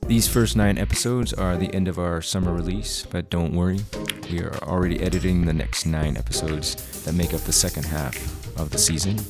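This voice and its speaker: A man's voice: sits low, fairly quick, few pauses, music in the background. A man, the writer of the show, reading credits and a scripted outro for an audio drama podcast.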